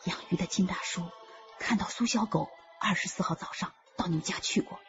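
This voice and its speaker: A woman's voice: lowish voice, brisk, highs cut off, soft background music, natural voice. An actress playing a blunt, assertive woman in a radio drama, pointed and confronting, who sees through lies. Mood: knowing, pointed.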